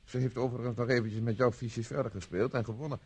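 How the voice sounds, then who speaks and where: An urbane, wry gentleman in a radio drama. He has a medium-pitched, somewhat husky voice and phrases things politely. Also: steady tone, fast. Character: urbane, wry gentleman friend giving a statement. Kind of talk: radio play acting.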